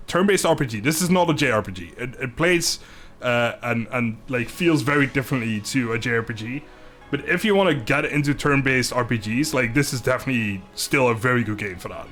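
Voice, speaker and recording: A man's voice: mid-range voice, a bit bright. A young Dutch man, English not his first language, chatting on a live stream in a loose, rambling way. He laughs easily, restarts his sentences and swears casually, with his voice sitting in a middle range. Faint music plays behind him. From Netherlands.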